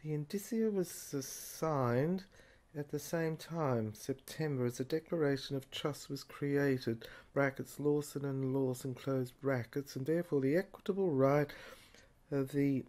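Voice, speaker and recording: A man playing a dry, muddled police officer in an amateur audio drama, muttering haltingly as he puzzles through files. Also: mid-range voice, a little mellow, close to an everyday voice. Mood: muddled, musing. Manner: halting, muttering, often clearing throat. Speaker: actor in a serial audio drama.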